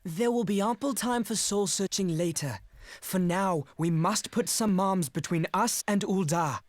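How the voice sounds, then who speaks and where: An actor playing a composed young military officer in a video game, formal and authoritative. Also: male voice, high voice, unhurried, dry recording, clean studio sound. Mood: firm. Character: brisk, composed high-voiced young male military officer.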